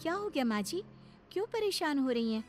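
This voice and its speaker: A woman voicing a suspicious, bold young daughter-in-law in an animated story, knowing and confrontational. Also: high voice, fairly quick, over music. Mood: feigned concern. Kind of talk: animated Hindi story voice acting.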